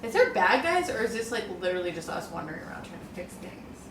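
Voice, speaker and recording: A woman's voice: mid-range voice, sing-song, over music. Questioning woman at a game table. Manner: questioning, quick to laugh. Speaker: player. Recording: echoey room, far from mic, background noise.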